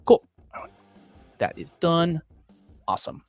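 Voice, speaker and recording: A man hosting a casual livestream, upbeat and rambling with many fillers, his voice going a little hoarse. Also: a little high, sing-song, slow, faint music behind. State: losing his voice. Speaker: livestream host.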